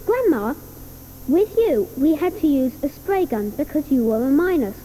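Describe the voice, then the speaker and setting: A woman's voice: high-pitched, sing-song, moderate pace, dark tone, a little hiss or hum, rough recording. An actress voicing an earnest, polite young boy in a 1980s audio drama, explaining things patiently. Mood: reassuring. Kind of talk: 1980s radio drama acting.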